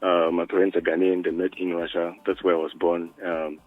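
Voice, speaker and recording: A man with a very deep voice calls in to a radio show, his speech thin and tinny through the phone line. He hesitates often with "um"s and laughs easily as he talks. The sound is dry and close, and soft music plays faintly behind. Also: little pitch movement, brisk, no room sound. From South Africa. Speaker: music producer guest. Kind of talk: phone-in interview.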